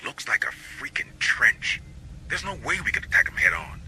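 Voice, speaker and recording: Sci-fi game operative: a laconic, sardonic military man, very sharp forceful tone, mid-pitched, slight background noise. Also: very animated, normal speed, rough recording. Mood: sardonic, measured. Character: wry, battle-ready male military operative advising marines. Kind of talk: video game military dialogue.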